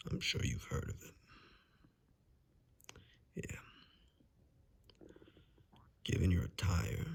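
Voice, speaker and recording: Man playing a very husky, low whispering forest guide. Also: exaggerated voice. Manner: hushed, halting, gently reassuring. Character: cautious male forest dweller guiding a lost stranger to safety. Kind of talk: whispered ASMR roleplay.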